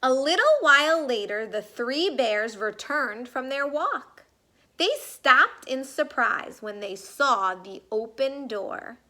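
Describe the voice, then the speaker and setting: A woman narrates a children's story in a high, bright voice. She reads expressively and unhurriedly, with big swings of pitch. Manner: animated, deliberate storytelling with emphatic words.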